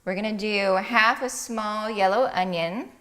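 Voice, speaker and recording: A woman's voice: mid-range voice, a little slow, bright, forceful tone. A woman hosting a cooking segment, upbeat and laughing often as she walks through a recipe. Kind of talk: casual cooking-show chat.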